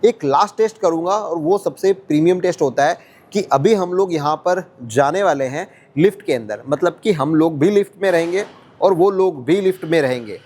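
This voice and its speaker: A man's voice: on the high side, lively intonation, normal speed, darkish tone. A man hosting a product test vlog, explaining to viewers as friends and mixing in English words. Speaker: host testing walkie-talkie handsets.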